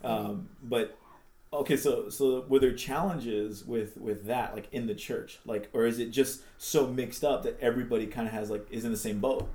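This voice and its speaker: Mellow-voiced male interviewer. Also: medium pitch, normal speed, echoey room. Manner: relaxed, filler-heavy questioning. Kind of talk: casual interview conversation.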